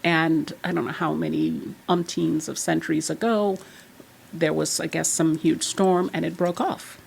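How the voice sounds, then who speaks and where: A woman's voice: low voice, a little slow, creaky, a little hiss or hum. A woman in her sixties from Trinidad and Tobago, chatting as a podcast guest and telling stories from her childhood. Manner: chatty and animated, laughs while telling stories. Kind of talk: casual storytelling chat.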